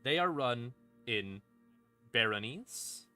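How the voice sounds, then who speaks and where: A man's voice: mid-range voice, lively intonation, slow, pushed and bright. A man explaining lore on a stream in a casual, unscripted, irreverent way, swearing freely. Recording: background music under voice. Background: ambient music.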